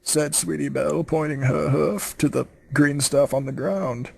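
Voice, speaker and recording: A man with a high voice reads a story aloud in a comic persona. His pace is slow and deliberate, and his delivery is theatrical. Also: fairly even intonation, creaky, darkish tone, slightly rough. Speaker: comic fan fiction reader. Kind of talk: narration read aloud in a put-on persona.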